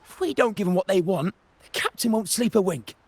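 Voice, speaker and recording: A greedy, boastful pirate lackey from a video game, with a high, husky, creaky voice. He draws his words out like a theatrical teller of tall sea tales. Music plays behind him. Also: expressive, brisk, heavily acted. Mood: pleading, scheming. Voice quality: raspy, gravelly. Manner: theatrical, exaggerated storytelling. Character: boastful, cowardly, greedy male pirate henchman spinning sea legends. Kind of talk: video game character acting.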